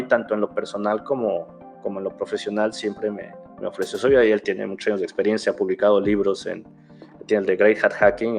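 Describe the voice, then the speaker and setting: A man's voice: lowish voice, vocal fry, a little mellow. A young Mexican man with a Mexican Spanish accent, talking casually as an interview guest, rambling, restarting phrases and adding fillers. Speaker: guest, security researcher.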